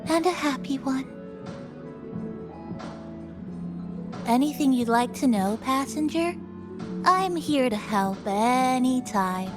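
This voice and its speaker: A gentle young companion in a video game, high-voiced and slow. She is soft, attentive and soothing, as if looking after a passenger. Background music plays under her voice. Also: expressive. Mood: reassuring. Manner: soft, soothing, unhurried, caring. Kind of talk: video game character dialogue.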